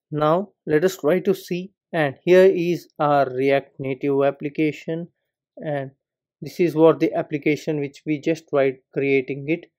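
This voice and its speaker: A man talks through a coding tutorial over his screen recording. His voice sits a little high, and he moves from step to step in a calm, explanatory way. He keeps coming back to the word "particular".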